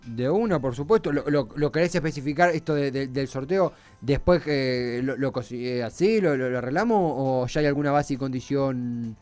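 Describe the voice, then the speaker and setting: A man's voice: mid-range voice, moderate pace, hardly any pauses, darkish tone. A man with a Rioplatense Argentine accent hosting a radio show casually and effusively, repeating words for emphasis. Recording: faint music behind the voice.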